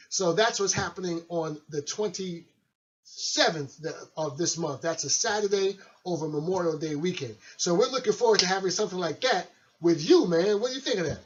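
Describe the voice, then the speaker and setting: A man's voice: fairly high pitch, normal speed. Chatty radio DJ man, lo-fi sound. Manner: chatty, friendly, loose. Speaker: radio host and DJ. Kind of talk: casual radio show talk. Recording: dull lo-fi recording.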